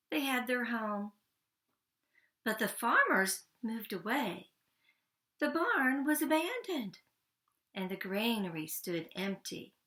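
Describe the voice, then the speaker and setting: Female storyteller for a children's picture book; unhurried, expressive, mid-pitched, pausing between phrases.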